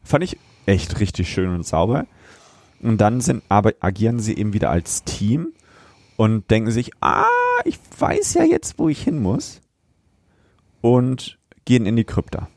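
A man with a lowish voice, chatting casually as a podcast co-host. He stays wry and matter-of-fact, adding asides from his notes. The sound is close and dry. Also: sing-song, measured pace, creaky, a little mellow, no echo. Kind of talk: casual review chat.

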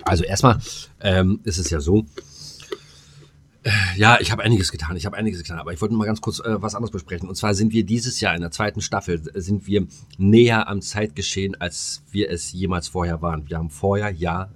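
Low-voiced man chatting as podcast co-host. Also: fairly quick. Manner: informal, playful. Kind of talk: casual comedic podcast chat.

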